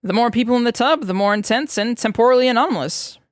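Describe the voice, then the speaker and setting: Woman acting as male scientist, talkative, enthusiastic, lifted pitch, chatty audiobook dialogue. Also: fast, no echo, studio quality, natural voice. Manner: animated, chatty, explanatory. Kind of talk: fan fiction audiobook character reading.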